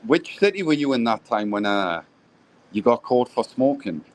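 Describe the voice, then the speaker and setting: A man's voice: medium pitch, sing-song, moderate pace, rough recording. A British man chatting casually on a live stream, easygoing, often trailing off and restarting his sentences. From Britain. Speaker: stream guest.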